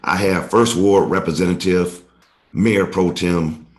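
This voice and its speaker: A man hosting a casual local talk show, his voice a little raspy and hoarse from hay fever. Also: low-pitched, fairly even intonation, a little slow. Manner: loose, conversational, informal phrasing. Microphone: studio mic.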